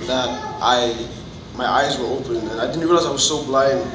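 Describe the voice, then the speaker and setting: A man in his twenties from Fiji with a Fijian accent, giving a personal testimony to a group in an earnest, halting way. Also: medium pitch. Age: 20s. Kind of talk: personal testimony, informal public speaking. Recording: roomy, distant mic, noticeable background noise.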